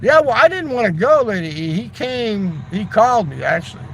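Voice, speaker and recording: A man's voice: sits high, sing-song, fairly quick, fairly bright tone, slight background noise, rough recording. A man telling a long casual story, loose and chatty, swearing freely and tossing in "right?" and "man". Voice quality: gravelly. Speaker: livestreamer.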